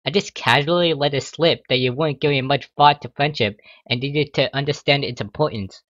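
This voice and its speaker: A man playing a doting, calm unicorn mother who coos and reassures her daughter in a story reading. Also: mid-range voice, brisk, dry recording, highs cut off, natural voice. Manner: cooing, reassuring.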